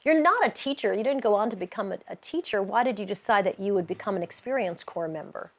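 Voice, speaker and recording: A woman's voice: mid-range voice, lively intonation, fast. A woman interviewer hosting a broadcast panel, putting questions to guests. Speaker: interviewer, host.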